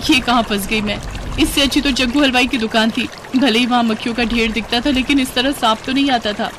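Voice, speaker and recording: A woman's voice: fairly high pitch, steady tone, fairly quick, background noise, voice pushed up, lightly acted. A woman voicing a naive, dreamy and dramatic young daughter-in-law in a cartoon. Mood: upset, dramatic.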